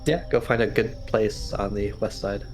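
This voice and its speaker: Low-voiced man planning game tactics. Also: moderate pace, a little hiss or hum. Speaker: tabletop game player. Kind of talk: casual group game planning.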